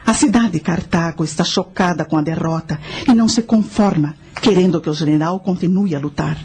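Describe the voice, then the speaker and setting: A woman playing a knowing guide who narrates ancient history in a radio drama, measured and instructive. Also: fairly low pitch, a little mellow, slightly rough.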